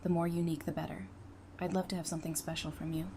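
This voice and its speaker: A woman's voice: on the low side, little pitch movement, fast, dark tone, slight background noise, natural voice. A woman playing an affectionate, playful survivor in an audio drama, casual and teasing in her delivery.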